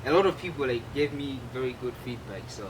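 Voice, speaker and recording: A young man from Malawi, answering interview questions earnestly and loosely, leaning on fillers as he goes. His voice sits at an everyday middle pitch. A clear hum of air conditioning and background noise runs under the recording. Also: animated, fast. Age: 20s. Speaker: guest artist.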